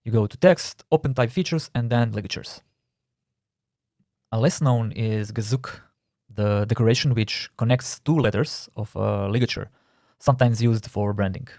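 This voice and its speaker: A man's voice: on the low side, moderate pace, darkish tone, no echo, clean studio sound. A man with a Croatian accent, a non-native English speaker, explaining typography terms in a careful recorded course narration. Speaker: typography instructor.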